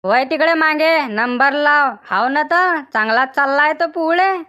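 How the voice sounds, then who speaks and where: A high-pitched, piercing village woman from a cartoon, played by a woman. She scolds and bosses in a rural Maharashtrian accent, fiery and quick to insult. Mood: bossy, irritated. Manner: sharp-tongued, bossy, scolding. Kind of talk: comic cartoon dialogue.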